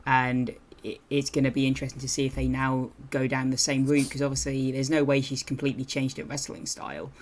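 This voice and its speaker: British male co-host, monotone, steady mid pitch, conversational. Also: brisk, hardly any pauses, slight background noise. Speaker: podcast co-host. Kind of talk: casual wrestling podcast chat.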